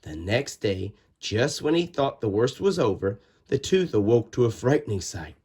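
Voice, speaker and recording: A man reading a children's storybook aloud in an expressive, dramatic way with drawn-out words and pauses. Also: mid-range voice, breathy edge. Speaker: storybook reader. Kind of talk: animated children's read-aloud narration.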